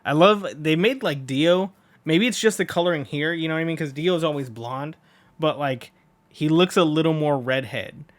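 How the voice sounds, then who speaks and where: A man's voice: on the high side, normal speed, no echo. A man hosting a manga read-along in a casual, informal way, with rambling asides between the lines he reads.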